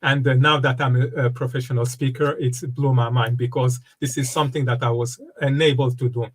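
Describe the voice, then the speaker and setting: A man in his fifties, originally from the Democratic Republic of Congo, talks as a guest in a relaxed interview. His delivery is halting, full of fillers and repeated starts, at a middle pitch. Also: slightly rough. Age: 50s. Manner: halting, many fillers and restarts. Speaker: guest, wellness coach and speaker. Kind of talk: casual interview conversation.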